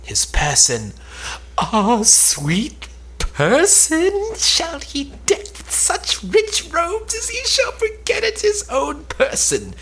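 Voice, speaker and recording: A man playing a scheming, lovesick woman in an Elizabethan stage drama, declaiming with drawn-out anguish and spite. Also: extremely high pitch, wildly swooping pitch, a bit bright, slight background noise, voice pushed up, heavily acted. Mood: bitter longing.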